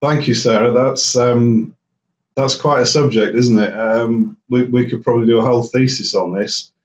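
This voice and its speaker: A man, a herbicide specialist answering webinar questions in a measured, explanatory way with fillers and restarts. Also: medium pitch, steady tone. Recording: roomy, distant and dull audio.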